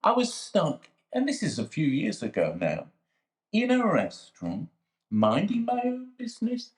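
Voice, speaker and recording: An actor playing a sly, self-pitying jailed man who swings between hushed confiding and sudden outbursts. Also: high-pitched, huge pitch swings, unhurried, full character voice. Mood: indignant. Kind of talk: dramatic monologue to a visitor. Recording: echoey room.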